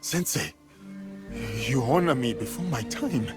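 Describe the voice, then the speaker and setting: Casting: male actor as loyal samurai retainer, dignified, formal, medium pitch, game cutscene with clear music behind. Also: expressive, a little slow, close to an everyday voice. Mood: solemn, respectful. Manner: formal, solemn. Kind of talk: video game cutscene acting.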